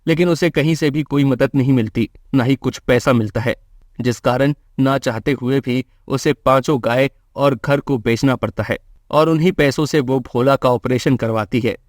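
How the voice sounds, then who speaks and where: A man's voice: mid-range voice, darkish tone, dry recording. Dry-recorded male storyteller. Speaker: storyteller narrator. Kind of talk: scripted children's moral-story narration in Hindi.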